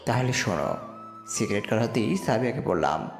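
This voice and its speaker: A man's voice: on the low side, animated, normal speed, creaky. A man reading a scripted story in the first person with dramatised delivery. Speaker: narrator and lead character in an audio love story. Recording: echoey reverb with music behind. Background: music.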